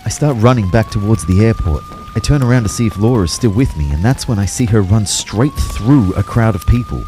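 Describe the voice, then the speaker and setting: A man's voice: on the low side, expressive, brisk, dark tone, slight background noise, natural voice. A man acting a dazed young man who narrates a disaster in the first person, as dramatic scripted storytelling. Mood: tense, reflective.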